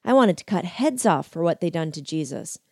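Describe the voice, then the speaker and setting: A man's voice: a little high, big pitch swings, fairly quick, vocal fry, no room sound, studio quality, heavily acted. A woman voicing a small, fiery older male biker preacher with a Southern accent and an emotional, drawling preaching manner. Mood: tearful.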